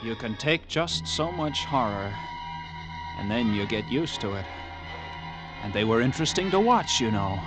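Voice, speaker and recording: A man's voice: medium pitch, animated, normal speed, over music, close to an everyday voice, low-quality recording. An actor in an old-time radio drama playing a haunted lighthouse keeper who narrates his horror tale in a brooding, dramatic way. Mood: brooding, tense.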